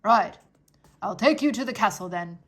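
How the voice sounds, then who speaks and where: A woman's voice: medium pitch, animated, moderate pace, close to an everyday voice. A woman voicing a formal, dutiful male soldier of the king who speaks politely and raises his voice to be heard. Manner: stiff, polite, shouts to be heard.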